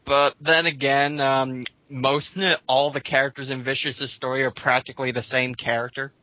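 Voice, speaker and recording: A man co-hosting a casual group commentary, reading comments aloud and haltingly restarting his sentences. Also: medium pitch, flat delivery, dry recording, highs cut off. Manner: halting, with repeated false starts and filler phrases.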